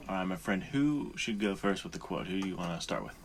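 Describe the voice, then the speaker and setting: A man's voice: low-pitched, expressive, fast. A man co-hosting a podcast, chatting informally and earnestly like an appreciative buddy. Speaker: podcast co-host. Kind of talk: casual podcast chat. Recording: faint background noise.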